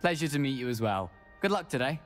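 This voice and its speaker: A man's voice: medium pitch, very animated, fast talker, close to an everyday voice. A man playing a cheeky, affable teenage wizard, chatting informally with a British accent in a video game. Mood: confiding, easygoing. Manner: friendly, informal. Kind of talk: video game character dialogue. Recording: music behind the voice.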